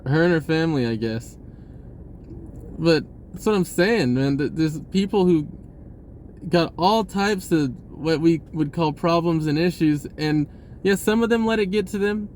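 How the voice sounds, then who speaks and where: A man's voice: a little high, unhurried, a little mellow, a little hiss or hum. A man talking casually about spirituality in a rambling way, repeating words and swearing offhand. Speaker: spiritual vlogger.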